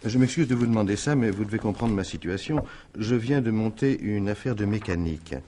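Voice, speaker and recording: An actor playing a polite, quietly insistent male stranger in a French radio mystery in 1950s style, measured and formal. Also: on the low side, slight background noise, close to an everyday voice. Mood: politely insistent. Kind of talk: French radio drama acting in 1950s manner.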